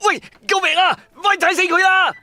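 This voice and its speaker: A man's voice: extremely high pitch, moderate pace, sharp tone, no echo, heavily acted. A man playing a foul-mouthed, cowardly joker of a friend in a radio drama, brash and whiny through a phone-like filter. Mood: panicked. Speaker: voice actor. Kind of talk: Cantonese radio drama acting.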